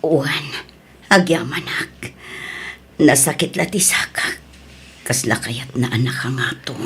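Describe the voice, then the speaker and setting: A woman's voice: rumbling low, fairly bright tone, breathy edge, exaggerated voice. A woman playing an ailing, grieving daughter in an audio drama, speaking sorrowfully. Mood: pained, weak. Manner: slow, sorrowful delivery. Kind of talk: radio-style drama acting. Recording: music bed under the voice.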